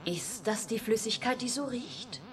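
A woman's voice: medium pitch, lively intonation, measured pace, a crowd in the background, natural voice. An actress playing an artificially created young woman, cool and logical, with formal, literal speech in a radio drama. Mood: cool, puzzled. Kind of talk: 1980s German radio drama acting.

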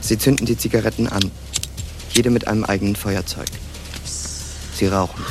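A man's voice: fairly low pitch, fairly even intonation, normal speed, music in the background. A man narrating a radio play, reading stage directions in a terse, matter-of-fact way.

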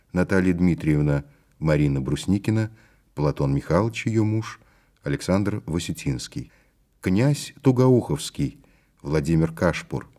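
A man's voice: extremely low pitch, a little mellow. A man reading a formal scripted cast announcement as an announcer.

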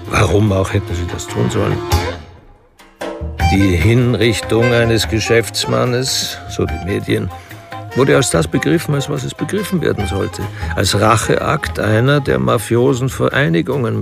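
An actor as a sober, wry narrator of a crime radio drama, speaking in measured scripted prose. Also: male voice, on the low side, gravelly. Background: music.

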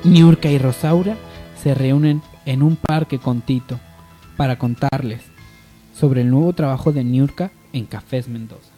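A man's voice: mid-range voice, measured pace, dark tone, faint music behind. A man narrating a scripted amateur radio soap opera as its storyteller.

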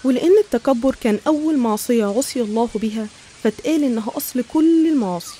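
A woman's voice: on the high side, expressive, fast talker, dark tone, slight background noise. A woman with an Egyptian Arabic accent narrating religious stories in a conversational, explanatory way. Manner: explanatory, storytelling.

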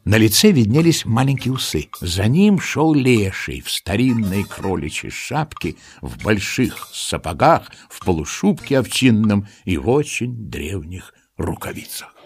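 A man playing the storyteller of a children's audio play, giving expressive, dramatic scripted narration. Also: lowish voice, a little slow. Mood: storytelling.

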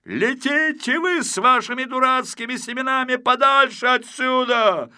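Bullying little police chief for a children's story: furious, very high, piercing yell. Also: male voice, normal speed, clear, resonant tone, heavily acted. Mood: gruff anger. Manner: shrieking, yelling through cupped hands. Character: furious small police commander, blustering and bullying.

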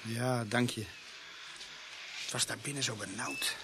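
A man acting a young rookie policeman in a radio play, weary and easily unsettled. His pitch is middling, with faint noise behind him. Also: a little slow, lightly acted. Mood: queasy, subdued.

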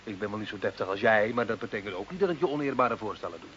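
A man's voice: lowish voice, lively intonation, very fast, a bit bright. An actor playing a cocky, impatient working-class man in a radio drama, blunt and teasing. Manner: brash, blunt. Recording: dull, noisy old radio recording.

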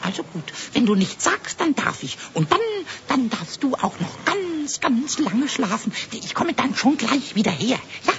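An impish little kobold for a 1970s children's radio play. The voice is squeaky and very high, jumping all over in pitch, wheedling and exclaiming. The old recording is dull and noisy. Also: male voice, unhurried, creaky, few pauses, exaggerated voice. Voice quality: squeaky, squawky. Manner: wheedling, exclamatory, repeats words excitedly.

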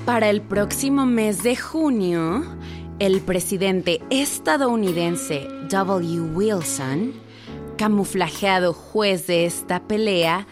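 Actress as slow, measured female radio announcer. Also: mid-range voice, music in the background, lightly acted. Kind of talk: scripted radio drama narration.

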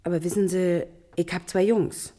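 An actress playing a nosy, good-hearted neighbour and working mother in a German radio drama, chatty and colloquial, with a husky voice. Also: lowish voice, level tone, normal speed, mellow, lightly acted. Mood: earnest, concerned. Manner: chatty, colloquial, hemming before getting to the point.

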